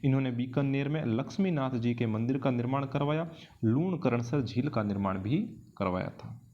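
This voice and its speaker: A man's voice: medium pitch, normal speed, lo-fi sound. A man with an Indian accent teaching an exam-prep lesson in question-and-answer form, didactically repeating key phrases for emphasis. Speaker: history teacher.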